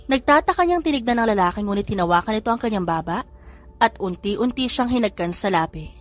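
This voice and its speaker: Female storyteller, calm scripted narration, faint music bed. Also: medium pitch, fast. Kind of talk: scripted audio drama narration.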